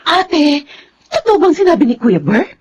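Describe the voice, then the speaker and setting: A woman's voice: high-pitched, very animated, measured pace. A woman playing a fussing, affectionate middle-aged aunt in a Filipino radio drama, gushing and theatrical. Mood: agitated.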